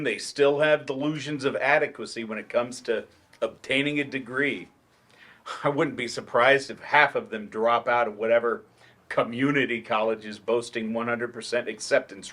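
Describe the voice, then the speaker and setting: A man's voice: medium pitch, a bit bright. A man reading the part of a dejected, sarcastic writer turned teacher in a staged play, dry and wordy. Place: library event room, staged reading.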